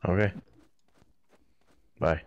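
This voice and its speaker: A man's voice: very slow, muffled recording, a little hiss or hum. A young man chatting casually with a friend while playing a video game. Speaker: gamer recording gameplay with a friend. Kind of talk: casual gaming chat.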